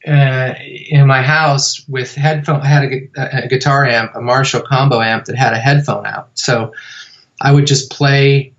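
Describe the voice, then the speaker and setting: A man's voice: medium pitch, fairly even intonation, measured pace, fairly bright tone, muffled recording. A man as a podcast guest, telling his story in a rambling way with many fillers and restarts. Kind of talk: casual storytelling interview.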